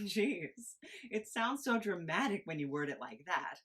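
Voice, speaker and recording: A woman's voice: on the low side, very animated, a little slow, fairly bright tone, husky, heavily acted. A woman playing an anxious, flustered podcaster in an audio drama read-through, hesitant and stammering as a reluctant sidekick. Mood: wry, resigned.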